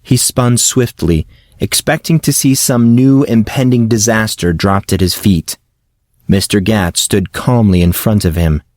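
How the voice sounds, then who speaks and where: A man's voice: on the low side, measured pace, darkish tone, no echo, studio quality. A man reading a scripted fantasy audiobook as narrator.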